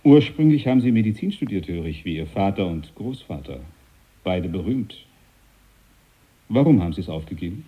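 A low-voiced, domineering naval captain. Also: male voice, big pitch swings, moderate pace, breathy edge, narrow, tinny audio, heavily acted. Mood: blunt, probing. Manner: brusque, blunt, commanding. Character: stern, domineering, devout British naval captain. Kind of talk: radio drama dialogue.

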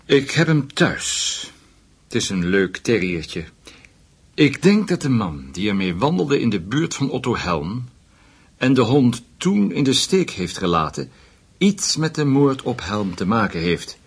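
An actor playing a measured, discreet senior German investigator in a Dutch radio play, speaking in a deliberate, formal way. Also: male voice, medium pitch, very animated, husky, exaggerated voice. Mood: firm, reasoning.

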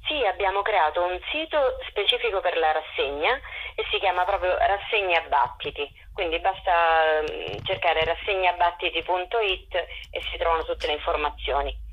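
A woman's voice: on the low side, normal speed, few pauses, breathy edge. A woman speaking informally as an interview guest over the telephone. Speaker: festival organiser, interview guest. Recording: dull, band-limited phone call.

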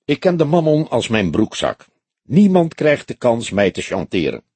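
A man's voice: mid-range voice, very animated, moderate pace, a little mellow, breathy edge, no room sound. A man voicing a stout, wealthy crime suspect in his late forties in an audiobook, polite and self-assured. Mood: self-assured, defensive. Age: 40s.

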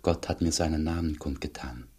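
An actor in a radio play as a revered, devout monk, answering in a sparse, measured and quietly stubborn way. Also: male voice, extremely low pitch, breathy edge. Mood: solemn, earnest. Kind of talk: German radio drama acting.

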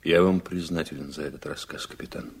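An actor playing a calm, respectful seaman in a Soviet radio drama, speaking in a measured, earnest, restrained way. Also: very deep voice, vocal fry, slightly rough, full character voice.

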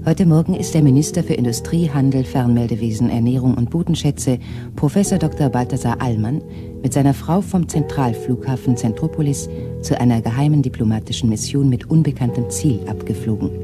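A man's voice: mid-range voice, brisk, close to an everyday voice. A man playing a formal radio newsreader. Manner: formal, even announcing. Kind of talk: 1970s radio drama acting. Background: music.

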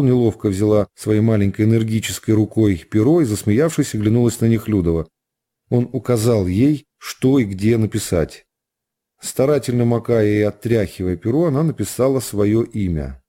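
A man narrating a literary work for radio, in a measured and expressive storytelling manner. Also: fairly low pitch.

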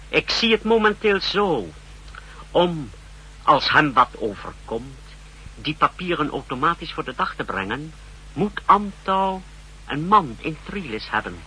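A man's voice: a little high, sharp tone, heavily acted. An actor playing a zealous secret service subordinate, reporting formally and deferentially to his chief in a 1960s radio drama. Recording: faintly noisy 1960s radio recording.

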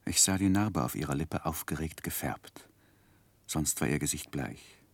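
An actor playing an earnest, reserved young gentleman who recounts his past in a 1960s German radio play, measured and grave. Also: a bass voice, no room sound. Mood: grave, reflective.

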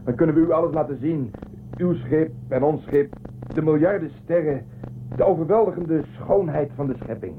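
A man's voice: on the high side, moderate pace, natural voice. Man playing an earnest starship traveller, tinny sound. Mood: pleading, insistent. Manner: earnest, insistent. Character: earnest, bewildered male starship traveller, pleading to be believed. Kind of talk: radio drama acting. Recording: thin phone-like band, faint noise.

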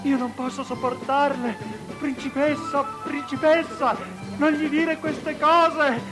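Desperate royal attendant, slow, high-pitched wailing plea, theatrical radio drama, music underneath. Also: female voice, steady tone, low-quality recording. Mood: anguished pleading. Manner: wailing, drawn-out pleading. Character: anguished, pleading attendant at a royal court.

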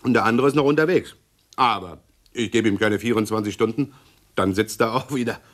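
A man's voice: fairly low pitch, brisk, lightly acted. An actor playing a pedantic, self-satisfied middle-aged policeman husband in a radio play, fussy and blustering, repeating himself. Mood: smug. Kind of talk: 1960s German radio play acting.

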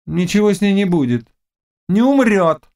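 A man voicing a mocking, scheming Cossack witch woman in an audiobook, with loud, taunting exclamations. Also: high-pitched, big pitch swings, moderate pace, pitched above the performer's own voice. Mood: goading. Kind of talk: audiobook character dialogue.

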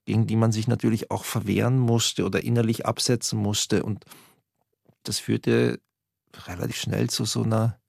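A man with a gravelly, husky, rather low voice muses hesitantly in an interview. He laughs at himself at times. The recording is dry and close. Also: little pitch movement, normal speed, a little mellow, no echo. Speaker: interviewee remembering his youth.

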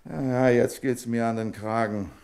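An actor playing a formal, easily dismayed office boss in a German radio crime drama, given to theatrical exclamations. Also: male voice, medium pitch, steady tone, normal speed, vocal fry, a little mellow, natural voice. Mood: fretful.

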